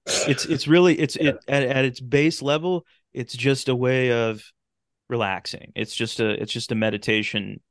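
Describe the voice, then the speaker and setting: A man speaking as a podcast guest in casual interview chat, explaining things in a rambling, halting way. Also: mid-range voice, steady tone, no echo, clean studio sound. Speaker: podcast guest, talk show host and radio drama maker.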